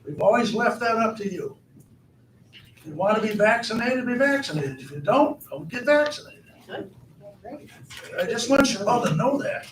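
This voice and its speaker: A hoarse man chairing a county board meeting, repeating himself and asking the room questions. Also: high-pitched, lively intonation, normal speed, long pauses. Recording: echoey hall, far from the mic, background noise.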